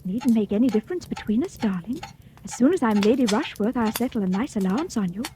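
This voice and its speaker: A woman's voice: a little high, expressive, quick pace, natural voice. A woman playing a coy scheming young wife. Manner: coy, wheedling, says "darling" a lot. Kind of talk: 1940s radio acting. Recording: old radio broadcast with hiss.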